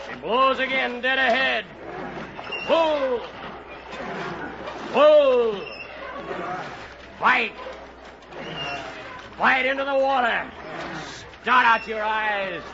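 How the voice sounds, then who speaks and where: Bellowing, high-pitched whaling first mate. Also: male voice, gravelly, full character voice. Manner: bellowing drawn-out orders. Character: commanding first mate of a whaling ship, urgent and fierce. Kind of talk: old-time radio drama acting. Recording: dull old radio recording, crowd and noise.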